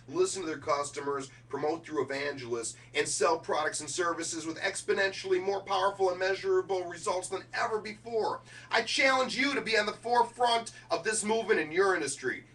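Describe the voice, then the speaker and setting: A man's voice: fairly high pitch, a bit bright, distant mic. A middle-aged man running through a keynote speech draft, switching between presenter delivery and offhand asides. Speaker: keynote speaker rehearsing a speech.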